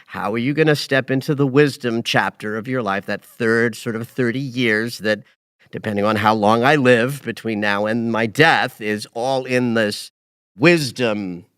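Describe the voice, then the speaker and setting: A man in his late fifties hosting an astrology podcast, talking casually and expressively, rising to emphatic highs and dropping into quiet asides. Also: clean tone, dry recording, clean studio sound. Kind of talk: casual conversational chat.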